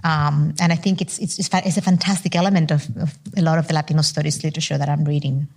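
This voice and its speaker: A woman's voice: deep voice, flat delivery, quick pace, a little mellow. Candid woman scholar on a panel. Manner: candid, many restarts and fillers. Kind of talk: roundtable discussion. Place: stage before a live audience.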